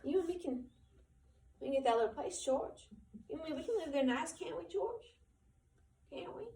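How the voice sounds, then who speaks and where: A woman's voice: expressive, normal speed, warm tone. A woman doing a shaky, grieving old ranch hand. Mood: pleading. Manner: shaky, pleading, high voice. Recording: roomy, from far off.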